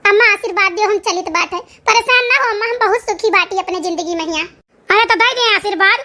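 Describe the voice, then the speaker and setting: A performer voicing a sharp-tongued village woman in an Awadhi-accented cartoon comedy, emphatic and given to repeating phrases. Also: very high voice, fast. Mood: earnest, reassuring. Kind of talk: cartoon comedy dialogue in rural dialect.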